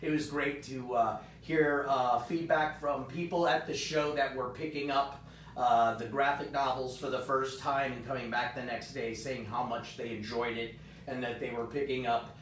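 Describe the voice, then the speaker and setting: Hoarse man, roomy and off-mic. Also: fairly even intonation, moderate pace, a bit bright, recorded from a distance. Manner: upbeat, rambling, many fillers. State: hoarse, voice partly gone. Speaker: host of a live comic shop stream. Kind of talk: casual live-stream chat. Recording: roomy, off-mic, faint rumble.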